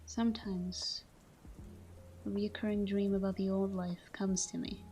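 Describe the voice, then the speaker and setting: A woman's voice: mid-range voice, little pitch movement, a little slow, dark tone, lightly acted. A woman playing a caring, tormented vampire lover in an intimate ASMR roleplay, murmuring tenderly and slipping into whispers. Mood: tender, haunted. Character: caring, tormented female vampire lover watching her sleeping partner.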